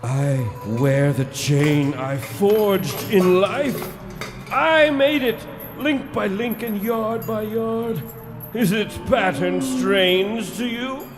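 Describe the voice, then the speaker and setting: A man acting a tormented, stern ghost of a dead businessman in a live radio drama, with theatrical, drawn-out, wailing delivery. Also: high voice, over music. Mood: grave, forceful.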